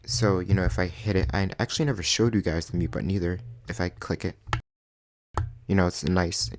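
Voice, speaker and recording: Deep-voiced young guy chatting loosely into a desk mic, lots of um and like. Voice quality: deep baritone. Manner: rambling, lots of ums and likes. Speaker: channel host reviewing a microphone. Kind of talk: casual product review. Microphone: USB condenser desk mic.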